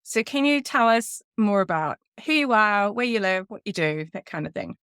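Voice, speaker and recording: A woman's voice: medium pitch, animated, normal speed, fairly bright tone, clear, resonant tone. A woman hosting a podcast who reads a scripted intro and then welcomes and questions her guest in a friendly way. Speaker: podcast host.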